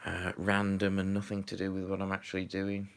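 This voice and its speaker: A man's voice: sits low, completely flat delivery, a little fast, clear, resonant tone. A man chatting casually on a live stream while building, rambling with lots of fillers and muttering to himself, a little stuffy from a runny nose.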